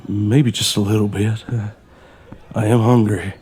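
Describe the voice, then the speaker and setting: An actor playing a guarded, determined thief in an audio drama, with a terse, muttering delivery. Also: male voice, on the low side, fairly even intonation, unhurried, darkish tone, lightly acted. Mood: hesitant. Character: tense, desperate male thief, guarded and determined.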